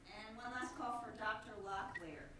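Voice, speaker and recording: A woman's voice: a little high, normal speed. A woman working as a meeting clerk, formally calling a roll. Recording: very faint, distant, echoey, heavy noise.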